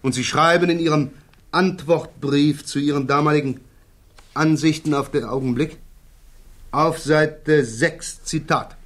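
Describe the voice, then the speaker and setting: An actor playing a probing, insistent prosecuting counsel in a German radio drama, speaking formally and precisely. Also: male voice, on the high side, fairly even intonation, unhurried, a bit bright. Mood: probing, pressing. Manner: formal, precise, pressing interrogation.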